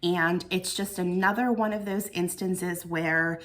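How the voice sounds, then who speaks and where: A woman's voice: on the low side, fairly even intonation, unhurried, a bit bright. An American woman giving a casual book review to viewers in an earnest, reflective, conversational way. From United States.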